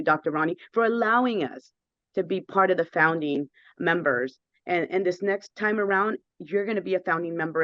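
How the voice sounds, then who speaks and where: A woman in her fifties with a hoarse voice, a guest telling her story earnestly and with emphasis. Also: lowish voice, a bit bright, no echo, muffled recording. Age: 50s. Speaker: guest sharing her experience.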